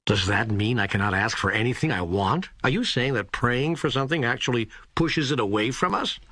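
A man's voice: medium pitch, expressive, brisk, bright, forceful tone, no echo. A man reading the part of an earnest, doubting seeker who questions God in a scripted audiobook dialogue. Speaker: audiobook co-narrator.

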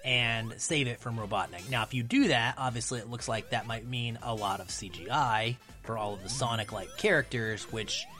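A man's voice: medium pitch, animated, moderate pace, hardly any pauses, bright, forceful tone, soft background music. A man speaking as a podcast guest in casual film discussion, thinking aloud as he goes.

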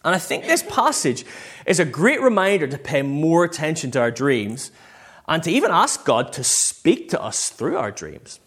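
Northern irish guy preaching, kinda high voice, really lively pitch, chatty storytelling style. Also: normal speed, breathy edge. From Northern Ireland. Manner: conversational, self-quoting, acts out short bits of dialogue. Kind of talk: informal sermon with personal stories.

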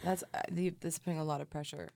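A woman's voice: low-pitched, fairly even intonation, rapid-fire, creaky, darkish tone, dry recording, studio quality. A young Canadian woman chatting casually as an interview guest, dryly joking and self-deprecating. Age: young adult. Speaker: musician and writer guest. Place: radio studio.

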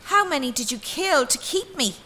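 An actress playing a blunt, defiant young Irish daughter, exasperated and insistent, in an audio drama. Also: female voice, sits high, big pitch swings, moderate pace, pushed and bright, full character voice. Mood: accusing. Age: young adult.

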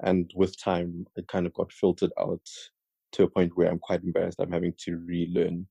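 A man's voice: deep voice, completely flat delivery, moderate pace, creaky, mellow, husky, dry recording. A young South African man co-hosting a podcast, chatting casually and joking with his co-host.

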